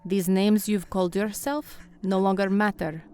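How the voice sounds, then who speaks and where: A woman's voice: medium pitch, fairly even intonation, a little slow, dark tone, soft background music, close to an everyday voice. A woman playing a cold, commanding vampire crime boss in a radio play, speaking formally and with menace.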